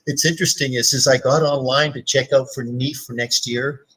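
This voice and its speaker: Enthusiastic male co-host, mid pitch, rambling delivery, faint hiss and a set-back mic. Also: steady tone, fairly quick, fairly bright tone, recorded from a distance. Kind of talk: casual live-stream chat.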